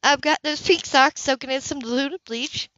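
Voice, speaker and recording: Woman playing a warm young mother, slightly muffled. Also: high-pitched, fairly even intonation, moderate pace, no room sound, voice pushed up. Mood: friendly, upbeat. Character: warm, friendly young working mother, modest, cheerful housekeeper. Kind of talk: audiobook character reading. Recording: dull lo-fi home recording.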